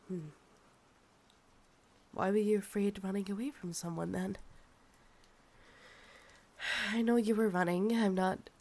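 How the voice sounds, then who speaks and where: Casting: actress playing a Scottish vampiress thousands of years old, possessive yet soothing, unhurried, low gravelly murmur and whispers for ASMR roleplay. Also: female voice, a little mellow, heavily acted. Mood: gently probing. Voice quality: breathy, often dropping to a whisper. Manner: slow, coaxing, sighing. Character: ancient insatiable female vampire, possessive, soothing and sickly.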